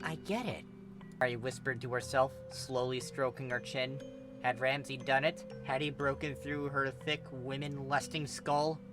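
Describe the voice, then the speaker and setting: A man reading a story aloud as narrator, with expressive scripted delivery. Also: medium pitch, normal speed, over music. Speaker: narrator, fan fiction reader.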